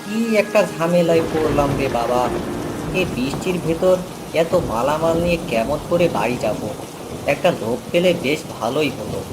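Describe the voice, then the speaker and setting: A man's voice: fairly high pitch, expressive, normal speed, low-quality recording. A man playing a kindly, familiar village man with goods to carry in a moral-story cartoon, with rain sounds behind him. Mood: fretting. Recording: music and rain under the voice.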